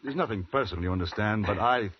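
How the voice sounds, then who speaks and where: An actor playing a polite, reserved gentleman traveller in a 1950s radio western, speaking in a courteous, hesitant, understated way. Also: fairly low pitch, fast, natural voice. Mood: resolved, businesslike. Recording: muffled, hissy old radio recording.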